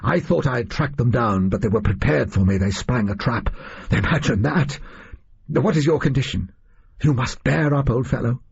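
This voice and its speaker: Man playing gentlemanly detective, husky, old-fashioned clipped delivery, composed urgency, audiobook dialogue, muffled sound. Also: medium pitch, moderate pace. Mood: urgent, composed. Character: gentlemanly English detective, captive yet composed. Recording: dull, band-limited audio.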